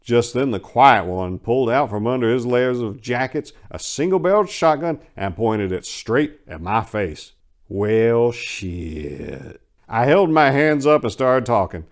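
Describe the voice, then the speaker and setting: A man giving a dramatic first-person reading of a short story in a wry, sardonic and expressive manner. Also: medium pitch. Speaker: story narrator.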